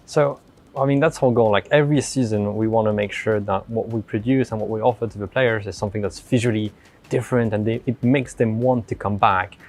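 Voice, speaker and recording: A man speaking as an interview guest, explaining his work casually in a halting, enthusiastic way with emphatic words. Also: on the low side, fairly even intonation, a little mellow, soft background music. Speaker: lead artist, interview guest.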